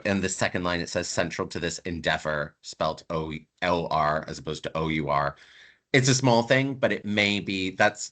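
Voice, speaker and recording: Casting note: male lecturer raised in Canada, low pitch, bright edge, chatty and emphatic on a video call. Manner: conversational, emphatic, repeats words for stress. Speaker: university lecturer. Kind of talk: online lecture to students.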